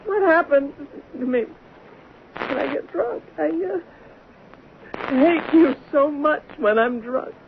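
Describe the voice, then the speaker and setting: A very high-pitched, slow-speaking older stage diva, bitter and volatile, in the theatrical manner of 1970s radio drama. She is halting and indignant, on a dull recording. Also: gravelly, a little hiss or hum, heavily acted. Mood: drunken, weary, broken. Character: washed-up, bitter, volatile older stage actress, often drunk.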